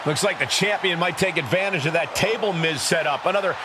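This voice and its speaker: An actor playing an excited play-by-play announcer, giving sports commentary in a wrestling video game. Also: male voice, fairly high pitch, a little fast, a crowd in the background, close to an everyday voice. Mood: urgent, amazed. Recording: loud arena noise.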